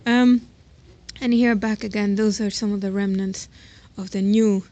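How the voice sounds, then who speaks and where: A woman's voice: mid-range voice, fairly even intonation, unhurried, mellow. A woman giving a casual, unscripted walking tour, thinking aloud hesitantly and informally, recorded outdoors on a handheld device. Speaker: host giving a tour of their own garden plot. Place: outdoors around a house and garden. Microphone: handheld camera or phone while walking. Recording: noisy outdoor handheld recording, ambient sound.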